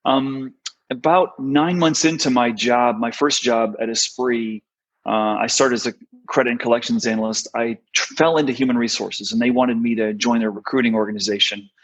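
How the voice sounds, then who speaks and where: A middle-aged American man, a podcast guest, telling his career story in a relaxed way with many fillers. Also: medium pitch, moderate pace. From United States. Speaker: guest, talent strategist. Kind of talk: podcast interview.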